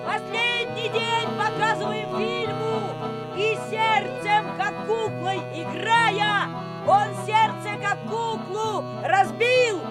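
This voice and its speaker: Very high-pitched cinema barker. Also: female voice, steady tone, slow, over loud music. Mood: melodramatic, touting. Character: shrill street barker touting a silent melodrama. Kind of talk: Soviet radio drama, chanting advertisement.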